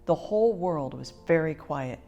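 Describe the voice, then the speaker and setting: A woman with a lowish voice reads a children's storybook as storyteller. Her reading is expressive, measured and articulate, with faint music around it. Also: gravelly, lo-fi sound.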